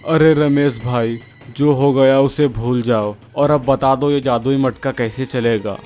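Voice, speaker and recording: A greedy, scheming village moneylender from a moral-story cartoon, acted by a man. He talks slowly in a medium-pitched voice, bossy one moment and wheedling the next, over soft music. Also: steady tone, lightly acted.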